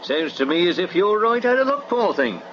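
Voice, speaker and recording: Jovial, flirty, high-voiced roguish professor. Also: male voice, very animated, normal speed. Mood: flirtatious, hearty. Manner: chuckling, hearty, patronising. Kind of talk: radio comedy drama acting. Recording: noisy, dull 1980s radio broadcast recording.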